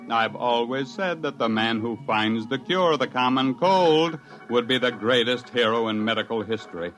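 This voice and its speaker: A man's voice: mid-range voice, fairly bright tone, close to an everyday voice, rough recording. An actor playing an indignant, wry research scientist who tells his own story with sardonic emphasis in a 1950s radio drama. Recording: vintage radio recording, faint music.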